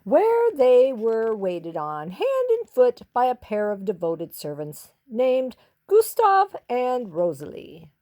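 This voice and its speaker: A woman reading a children's story expressively, with theatrical, drawn-out emphasis on key words. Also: fairly high pitch, huge pitch swings, dark tone.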